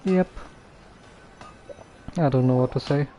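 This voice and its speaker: A game streamer giving hesitant, low-key live commentary with long gaps and trailing thoughts. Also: slow, background noise.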